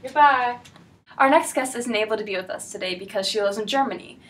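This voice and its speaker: A woman's voice: on the high side, fairly quick, a bit bright. Young woman hosting, mic far off. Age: young adult. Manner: scripted questions, plain casual delivery. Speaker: talk-show host in a class project. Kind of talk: amateur mock talk-show hosting. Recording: distant mic, faint noise.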